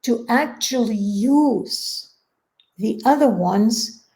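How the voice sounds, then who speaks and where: A woman's voice: on the high side, very slow, warm tone. A woman in a reflective casual conversation, thinking aloud hesitantly and rephrasing as she goes.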